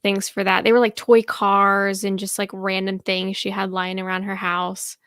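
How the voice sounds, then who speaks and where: A woman's voice: medium pitch, flat delivery, normal speed, a bit bright, clear, resonant tone, dry recording, studio quality. A woman chatting casually and enthusiastically as a guest producer in an interview. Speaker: audio drama producer, podcast guest.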